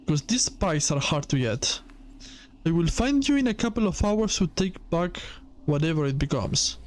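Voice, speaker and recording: A man voicing a brisk, bossy female devil in a gothic visual novel, giving orders. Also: a little high, very animated, slight background noise.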